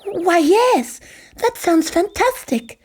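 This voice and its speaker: A man's voice: very high-pitched, sing-song, slow pace, a little mellow, voice pushed up, heavily acted. A man voicing a polite, earnest, well-mannered little girl in a fairy tale reading. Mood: polite, eager.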